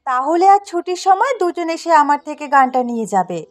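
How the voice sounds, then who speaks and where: A woman playing a brisk, kindly schoolteacher in an audio story, giving instructions and checking for agreement. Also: high-pitched, very animated, soft background music. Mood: businesslike. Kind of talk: Bengali audio story acting.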